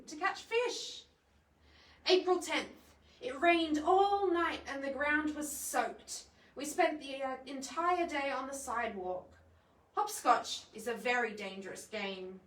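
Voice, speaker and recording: A woman reading a children's picture book aloud in a playful, expressive way, adding chatty asides for young listeners. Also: sits high, unhurried. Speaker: library storytime presenter. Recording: echoey room, distant microphone.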